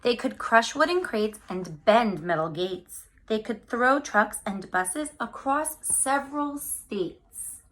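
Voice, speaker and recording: A woman reading a picture book aloud to children, speaking to them directly with animated, drawn-out emphasis on key words. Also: fairly high pitch. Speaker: read-aloud storyteller for children.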